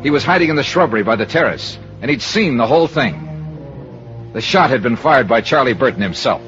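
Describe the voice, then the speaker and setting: A man's voice: medium pitch, animated, fairly quick, fairly bright tone, lightly acted. An actor playing a tough, wry, terse American male investigator who narrates his case in a radio detective drama. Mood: grave, narrating. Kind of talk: 1950s radio detective acting. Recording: dull old radio recording, music bed.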